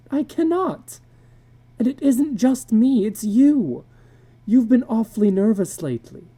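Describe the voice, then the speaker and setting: A woman's voice: on the high side, mellow, husky, pitched above the performer's own voice. A woman voicing a frightened, pleading housewife in a dramatic story reading, anxious and insistent.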